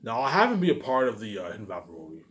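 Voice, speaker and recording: A man's voice: lowish voice, big pitch swings, very fast, a bit bright. A man talking casually in a rambling, repetitive monologue, musing aloud like a vlogger. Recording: noisy amateur recording.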